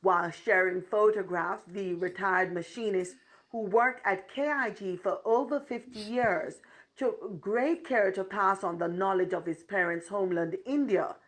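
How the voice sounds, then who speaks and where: Woman narrating a news report. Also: medium pitch. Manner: formal, even news delivery. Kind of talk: scripted narration.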